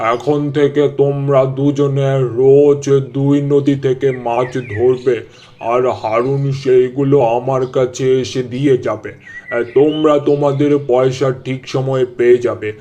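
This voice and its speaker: Guy doing a kind village boss in a cartoon, dark soft tone, normal pitch, takes charge. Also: steady tone, measured pace, lightly acted. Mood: reassuring. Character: benevolent village businessman boss, adult gentleman. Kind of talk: cartoon dialogue.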